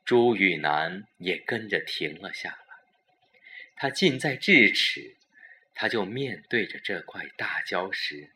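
Male narrator for a thriller audio drama. Fairly low, bright forceful tone, dramatic delivery. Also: expressive. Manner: dramatic, deliberate storytelling.

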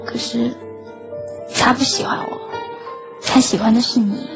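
A woman playing a teenage schoolgirl in an audio drama, narrating softly and wistfully. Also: fairly high pitch, big pitch swings, unhurried, gravelly, exaggerated voice. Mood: gentle. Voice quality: soft, wistful. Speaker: lead and narrator. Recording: dull lo-fi sound with loud music.

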